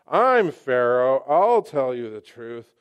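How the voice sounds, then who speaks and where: A booming, domineering king, as a preacher puts him on in a sermon, each word dragged out slowly and thrown hard. He sounds sure that everyone must obey him. His pitch sits in an ordinary male middle range. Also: sing-song, clear, resonant tone. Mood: commanding. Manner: loud, slow, commanding proclamations. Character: boastful, domineering ancient king Pharaoh.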